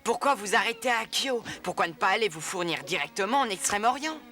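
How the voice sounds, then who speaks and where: A woman's voice: mid-range voice, animated, fairly quick, close to an everyday voice. A woman voicing an eager, stubborn young boy in a French cartoon, earnest and insistent. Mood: excited, eager. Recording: music behind the voice.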